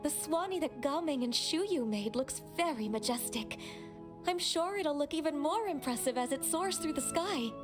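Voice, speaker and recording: A woman's voice: sits high, lively intonation, normal speed, over music. A woman voicing a sweet, encouraging young female video game character with a polite manner. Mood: admiring. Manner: polite, admiring.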